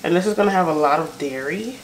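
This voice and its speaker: A woman's voice: sits low, fast talker. An American woman chatting casually and informally as she cooks, thinking aloud in an unscripted way. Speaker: home cook vlogger. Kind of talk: casual chat while cooking. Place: home kitchen, indoors. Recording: echoey kitchen, noisy stove sizzle. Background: sizzling pan, clicks, stirring.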